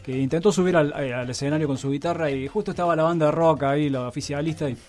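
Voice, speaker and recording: Argentine radio guy, kinda high voice, casual rambly chat, bit of music behind. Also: fast talker, darkish tone. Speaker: radio columnist.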